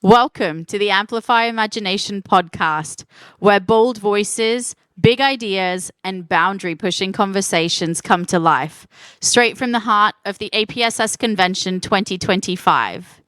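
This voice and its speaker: A woman's voice: mid-range voice, measured pace, a bit bright, clear, resonant tone, dry recording. A woman hosting a live panel at a convention, upbeat and enthusiastic as she introduces guests and asks questions. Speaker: panel host and moderator. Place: convention stage.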